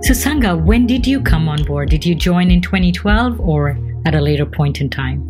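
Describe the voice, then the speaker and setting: A woman's voice: on the low side, expressive, moderate pace, over music. A woman hosting an interview, asking curious and direct questions.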